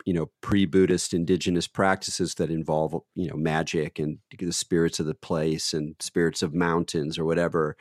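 A man with a booming voice talking in a rambling, thoughtful way, full of fillers and restarts. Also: dry recording, clean studio sound. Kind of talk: thoughtful conversational talk.

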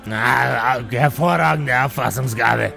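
A man's voice: mid-range voice, slow, creaky, pushed and bright, faint music behind, voice pushed down, heavily acted. A man playing a grumpy, crude working man in a tavern, with a Bavarian accent and a curt way of talking. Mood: unimpressed.

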